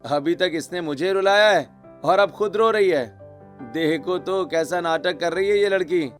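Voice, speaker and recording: Man playing a cheeky young brother-in-law, higher-pitched. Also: measured pace, faint music behind. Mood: mocking. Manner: cheeky, playful teasing. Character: teasing young brother-in-law in a family cartoon.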